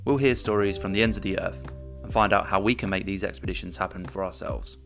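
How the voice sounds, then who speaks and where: A man's voice: low voice, fast, gravelly, soft background music. A man hosting a podcast interview, talking with many fillers and restarts. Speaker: podcast host.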